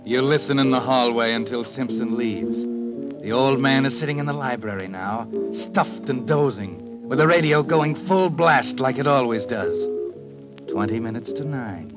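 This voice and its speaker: An actor as ominous old-radio mystery narrator, muffled recording. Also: male voice, mid-range voice, moderate pace, loud music behind the voice, close to an everyday voice. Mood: ominous, suspenseful. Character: sardonic male narrator, cool, ominous voice of conscience.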